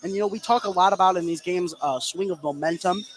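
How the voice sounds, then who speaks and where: A man doing live play-by-play radio commentary of a basketball game, calling plays excitably as they happen. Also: high voice, quick pace. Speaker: play-by-play sports announcer. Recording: noisy live gym broadcast.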